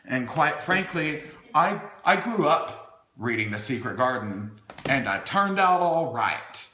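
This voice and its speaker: A man's voice: mid-range voice, sing-song, slow pace, sharp tone. A man hosting a playful storytime, reading a children's book with wry, chatty asides in a theatrical way, as if talking to small children. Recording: echoey room.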